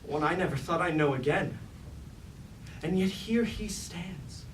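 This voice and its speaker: A man's voice: on the high side, expressive, unhurried. An actor playing a suspicious, anguished robot lieutenant on stage, in theatrical, archaic tragic speech full of resentment for his master. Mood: haunted. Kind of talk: staged reading of mock Greek tragedy. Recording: roomy, distant mic, faint background noise.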